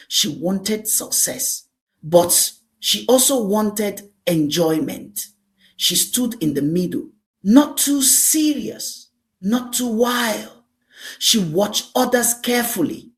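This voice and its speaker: A woman narrating a scripted dramatic folktale as a storyteller, speaking deliberately in short, emphatic phrases. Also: mid-range voice.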